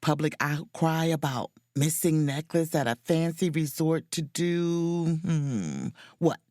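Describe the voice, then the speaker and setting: A woman's voice: sits low, expressive, takes it slowly, dark tone, no echo, studio quality. An actress playing an inquisitive woman space officer in a romcom audio drama, speaking expressively. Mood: brisk, speculative. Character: woman space officer on holiday, keen amateur sleuth. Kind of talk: romcom audio drama dialogue and first-person narration.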